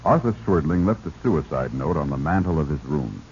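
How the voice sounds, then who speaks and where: Deep-voiced, blunt investigator. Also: male voice, expressive, fairly quick, darkish tone. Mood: puzzled, insistent. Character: blunt, puzzled male investigator on a case. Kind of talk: 1940s radio acting. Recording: muffled, noisy old radio recording.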